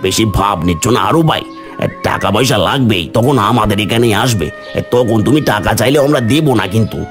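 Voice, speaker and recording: A man's voice: lowish voice, expressive, a little fast, faint music behind, lightly acted. A man voicing an oily, scheming moneylender's henchman in a cartoon, insinuating and persuasive. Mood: taunting, threatening. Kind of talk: cartoon voice acting.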